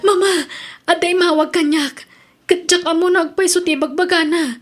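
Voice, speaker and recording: A woman's voice: very high voice, fairly even intonation, moderate pace, faint music behind. A woman playing an anxious, emotional daughter in a radio drama, speaking with earnest feeling. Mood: relieved, excited. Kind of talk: Ilocano radio drama acting.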